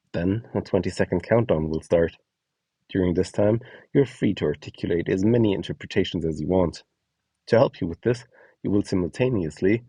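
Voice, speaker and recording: A man with a low-pitched, mellow voice narrates a scripted guide as host and instructor. His tone is a little husky and the sound is close and dry, with each step laid out plainly. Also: no echo, far from the mic. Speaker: host and instructor of a voice donation project.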